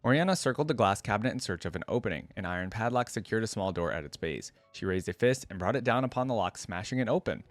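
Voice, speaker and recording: A man's voice: lowish voice, animated, quick pace, few pauses, dry recording. A man reading a story aloud expressively as a reaction video creator, breaking off for casual asides.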